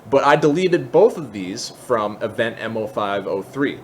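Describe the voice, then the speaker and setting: A man with a medium-pitched voice hosts a casual software tutorial. He explains step by step in an informal, lightly self-deprecating manner. A faint layer of background noise sits behind his voice. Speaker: tutorial host.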